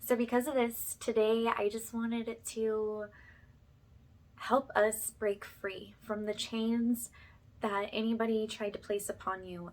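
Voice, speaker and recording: A woman's voice: mid-range voice, fairly bright tone. A woman speaking informally to her viewers as a spiritual ASMR healer, reassuring them and often checking in with them directly. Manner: reassuring, addresses listeners directly with check-in tags.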